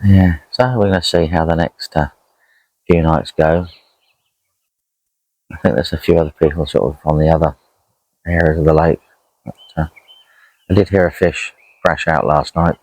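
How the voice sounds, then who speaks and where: Deep-voiced man, casual angler. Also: steady tone, darkish tone, no echo, rough recording. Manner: rambling, unhurried, chatty. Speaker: angler vlogging a fishing trip. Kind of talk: casual outdoor vlog commentary. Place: outdoors at a lakeside.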